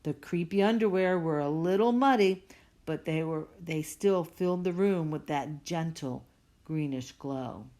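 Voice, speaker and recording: A woman's voice: deep voice, measured pace, mellow. A woman reading a children's storybook aloud expressively, stretching out the spooky words for dramatic effect. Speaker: storybook reader.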